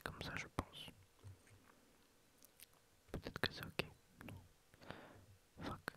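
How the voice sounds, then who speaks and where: Man whispering with a head cold. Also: very slow. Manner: soft rambling whisper with fillers. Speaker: gameplay ASMR creator. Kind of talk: whispered ASMR game playthrough. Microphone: close ASMR microphone.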